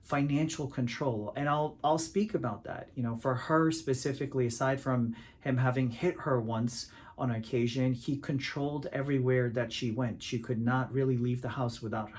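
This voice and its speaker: A man from Pakistan works as an immigration attorney and explains the law in a measured, deliberate talk to camera. He pauses often on filler sounds as he lays out each point. His voice sits at an ordinary middle pitch.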